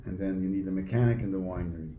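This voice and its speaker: A man in his sixties, a winemaker explaining his trade casually in an interview, somewhat haltingly, recorded across a table indoors. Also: low-pitched, narrow, tinny audio. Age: 60s. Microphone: phone camera across a table. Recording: roomy phone recording, faint background noise.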